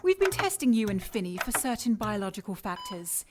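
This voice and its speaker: A woman's voice: medium pitch, big pitch swings, background noise, natural voice. Cool, condescending woman scientist villain played by an actress. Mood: condescending, clinical. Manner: clinical, taunting, unhurried. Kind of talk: radio drama acting.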